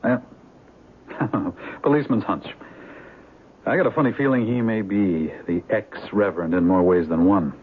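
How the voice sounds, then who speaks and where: A man acting a deep-voiced, shrewd police detective. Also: normal speed, long pauses, lightly acted. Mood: wary, probing. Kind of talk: 1970s radio drama acting. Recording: muffled, hissy 1970s radio broadcast.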